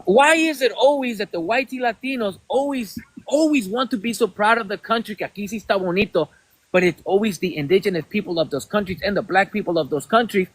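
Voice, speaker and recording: A man's voice: high voice, dry recording, rough recording. A man from Mexico with a Mexican accent hosting a heated livestream debate, insistent and emphatic, switching between English and Spanish. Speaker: podcast host.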